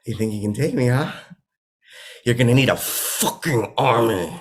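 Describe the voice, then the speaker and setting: A man's voice: medium pitch, expressive, moderate pace, slightly rough. An actor playing a deranged, defiant male villain in a screenplay table read, menacing and taunting. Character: deranged, defiant, unkillable male villain, bearded castaway madman.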